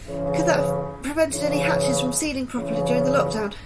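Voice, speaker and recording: A woman's voice: high voice, big pitch swings, fairly quick. A woman playing an anxious astrobiologist in an audio drama, nervous, hesitant and earnest. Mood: curious, urgent. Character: anxious young female astrobiologist in a secret facility. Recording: loud music under the voice.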